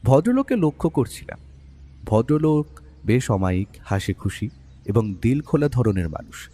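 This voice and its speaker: An actor playing the detective's observant friend, narrating a mystery story in a husky voice with long reverb. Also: male voice, mid-range voice, dark tone. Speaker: first-person narrator. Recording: long reverberant hall-like echo.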